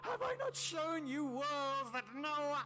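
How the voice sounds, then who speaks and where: High-pitched, raving mad scientist. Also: male voice, very animated, soft background music, exaggerated voice. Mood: manic triumph. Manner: theatrical, taunting, drawn-out words, shouted outbursts. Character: mad, gloating male scientist raving in hatred. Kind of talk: dramatic horror audiobook character reading.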